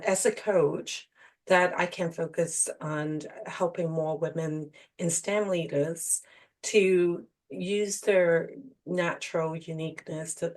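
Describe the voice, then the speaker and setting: A woman's voice: lowish voice, a little mellow. A middle-aged woman, talking conversationally as an executive coach being interviewed on a podcast. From Hong Kong.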